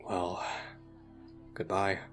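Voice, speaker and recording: A man plays a moody small-town teenage boy in an audio roleplay. His voice is very low with a clear bright edge. He talks slowly and haltingly, in casual slang, over faint music. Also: completely flat delivery, exaggerated voice. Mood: subdued, uneasy. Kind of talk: audio roleplay acting, conversational.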